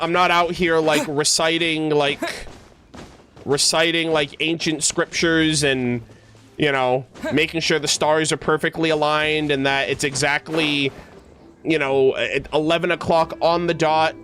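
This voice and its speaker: A man's voice: on the high side, a little slow. A man livestreaming a game, chatting casually with viewers in a rambling, slangy way and often correcting himself. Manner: rambling, self-correcting, slangy, animated asides. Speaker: game streamer.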